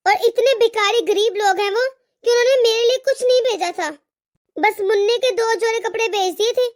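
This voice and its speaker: A demanding married daughter from a cartoon family story , played by a woman, with an extremely high, small voice. She is boastful and entitled, insisting on her wishes with open disdain. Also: no echo, studio quality. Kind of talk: animated family drama dialogue.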